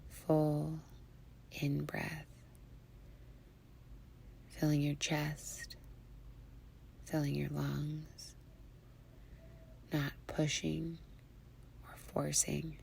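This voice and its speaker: Woman, guided meditation, heavy vocal fry, breathy hush, soothing, very slow delivery, faint noise behind. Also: sits low. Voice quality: breathy, near-whispered. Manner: soothing, hushed, unhurried phrasing with long pauses.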